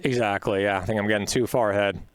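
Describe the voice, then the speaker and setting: A man's voice: lowish voice, fairly even intonation, fast talker, clean tone. A man hosting a podcast in a studio, chatty and upbeat, with plenty of uhs. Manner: chatty, upbeat, filler-heavy. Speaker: podcast host. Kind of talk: podcast interview.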